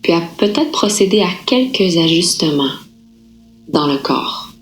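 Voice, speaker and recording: A woman yoga nidra instructor guiding a relaxation meditation in a calm, unhurried, soothing manner with gentle pauses. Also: on the low side, faint music behind.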